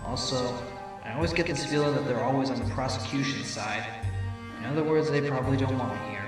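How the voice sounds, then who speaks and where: A man's voice: mid-range voice, fairly quick, close to an everyday voice. A man playing a wry, sardonic fox defense attorney in an audio drama, musing as he goes. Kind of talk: audio drama acting with inner monologue. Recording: very echoey, distant voice with music.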